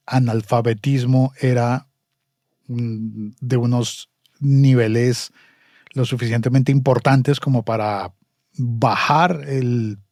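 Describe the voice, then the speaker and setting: A man from Colombia, a guest in a casual podcast chat, speaking in a measured, reflective way. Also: mid-range voice, darkish tone, no echo, studio quality.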